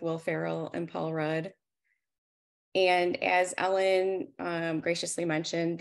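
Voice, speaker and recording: A woman's voice: fairly low pitch, vocal fry. A woman in her forties speaking candidly and conversationally as she presents her photography work on a video call. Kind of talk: informal slide presentation.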